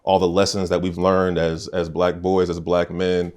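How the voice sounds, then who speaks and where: A man hosting a podcast, talking in a relaxed, conversational way with slang. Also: low voice, normal speed. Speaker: podcast host.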